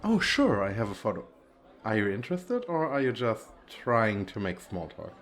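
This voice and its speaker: A man's voice: fairly low pitch, sing-song, moderate pace. A man playing a nervous, bashful, well-off young businessman on a date in an ASMR roleplay, with hesitant, stammering speech. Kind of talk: ASMR roleplay, one-sided conversation with the listener.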